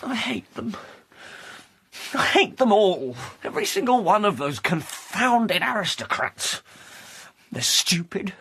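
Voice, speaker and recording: A man's voice: high-pitched, sing-song, slow, long pauses, fairly bright tone, husky, full character voice. An actor playing a flustered, genteel, tipsy English man of letters in a period radio comedy, effusive and self-pitying. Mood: drunken resentment. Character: flustered, obsequious, bitter tipsy literary gentleman.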